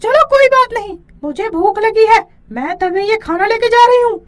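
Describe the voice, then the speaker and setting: A woman voicing a hungry, greedy witch in an animated children's story, exclaiming and gloating as she schemes. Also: very high voice, moderate pace. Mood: gloating, bossy.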